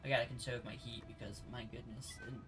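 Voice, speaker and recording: A man's voice: mid-range voice, flat delivery, fast talker, over music. A man doing casual let's play commentary, muttering through his decisions as he plays.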